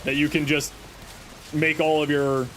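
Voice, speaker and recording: A man's voice: fairly high pitch, level tone, a little slow, a bit bright, a little hiss or hum, rough recording. A man streaming a game and chatting with viewers, self-deprecating and rambling, who is losing his voice. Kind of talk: casual live-stream chat answering viewers.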